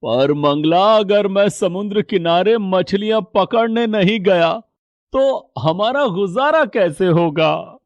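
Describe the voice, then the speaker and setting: Male VO: tired aging cartoon fisherman, soft-spoken, unhurried. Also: high voice, lively intonation, dry recording, dull audio, full character voice. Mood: worried. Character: aging poor male fisherman, weary and gentle. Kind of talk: cartoon story voice acting.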